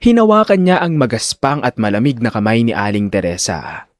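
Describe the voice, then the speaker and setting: A man narrates an audio drama in a scripted, dramatic style. His medium-pitched voice swings widely in intonation, and the sound is very dry. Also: animated, brisk, no echo.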